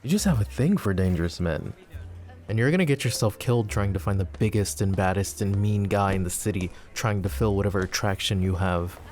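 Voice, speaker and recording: A man's voice: lowish voice, brisk, a little mellow, faint music behind, lightly acted. A man playing a flirty, possessive mafia boss in an ASMR roleplay, talking in an intimate, teasing, casual way straight to his lover. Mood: jealous, wry. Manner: intimate, teasing, casual, addressing the listener directly.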